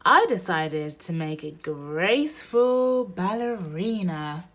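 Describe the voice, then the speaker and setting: A woman reading a children's picture book aloud in an expressive storytelling manner meant for young listeners. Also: on the low side, slow pace. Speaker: storybook reader.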